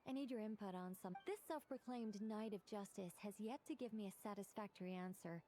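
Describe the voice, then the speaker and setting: A woman's voice: medium pitch, fairly quick, dark tone, a little hiss or hum, natural voice. A woman voicing a prim, opinionated young female mage in a video game, wordy and dryly fussy. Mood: brisk, demanding.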